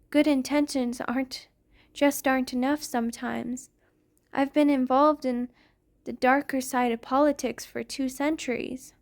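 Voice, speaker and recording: A woman playing a centuries-old vampire queen, tender, protective and remorseful, speaking softly and formally in an intimate ASMR roleplay. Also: high-pitched. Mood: apologetic, tender. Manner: soft, formal, confessional, hesitant phrasing.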